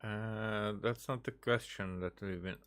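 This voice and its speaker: A man's voice: on the low side, steady tone, measured pace, a bit bright. A man doing casual live commentary as a game streamer, reacting aloud and repeating himself.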